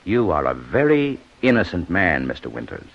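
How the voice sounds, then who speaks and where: An actor playing a sharp, methodical police inspector in 1950s radio detective style, commanding and accusatory. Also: male voice, sits low, huge pitch swings, normal speed, creaky, dull audio, heavily acted. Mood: accusing. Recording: old-time radio broadcast recording.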